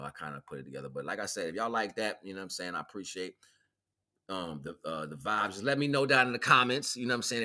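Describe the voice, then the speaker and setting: Deep-voiced American man, laid-back streamer. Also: pushed and bright. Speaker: streamer and musician presenting own song. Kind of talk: casual livestream chat.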